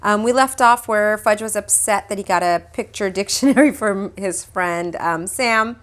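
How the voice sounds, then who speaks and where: A woman's voice: medium pitch, moderate pace. A woman reading a children's book aloud like a teacher, expressively and acting out the dialogue. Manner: animated, acts out dialogue.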